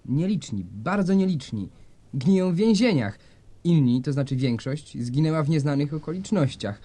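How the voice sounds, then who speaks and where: A man's voice: on the high side, animated, moderate pace, mellow. A man acting an obsequious secretary to a dictator in a satirical radio drama, deferential and coolly servile. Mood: smug, reassuring.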